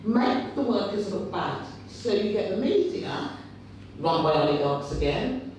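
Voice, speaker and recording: A man's voice: high voice, big pitch swings, a little slow, recorded from a distance, noisy background. Big-voiced man rallying in echoey hall. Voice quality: big, loud voice. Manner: impassioned, repetitive rhetorical buildup. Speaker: trade union speaker. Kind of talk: rally speech at a union fringe meeting. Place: meeting hall. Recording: very echoey hall, picked up from afar.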